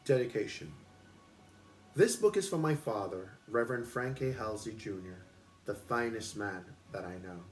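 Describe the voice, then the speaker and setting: A man with a fairly low voice reads aloud as a book club host. His delivery is expressive storytelling, recorded at home with faint music underneath. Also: normal speed.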